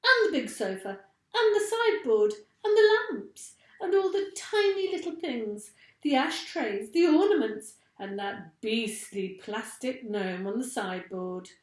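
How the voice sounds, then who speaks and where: Woman putting on an excitable, bossy monkey dad, echoey. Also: fairly high pitch, measured pace, pitched above the performer's own voice. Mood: frantic, urgent. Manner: barks shouted orders. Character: excitable bossy male monkey father, frantic and gleeful. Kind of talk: children's storybook character voice. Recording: echoey room.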